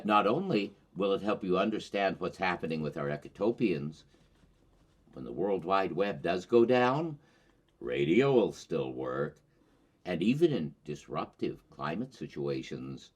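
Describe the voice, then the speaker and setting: A man hosting a show, delivering a scripted commentary monologue in deliberate, rhetorical phrases with dramatic emphasis. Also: very deep voice, slightly rough. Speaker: host of a climate commentary show.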